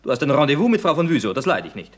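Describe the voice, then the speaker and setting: An actor playing an excitable, sardonic playwright in a comedic radio play, theatrical, emphatic and dryly ironic. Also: male voice, on the high side, very fast. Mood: dryly bossy. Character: exasperated, sardonic male playwright, excitable and bossy.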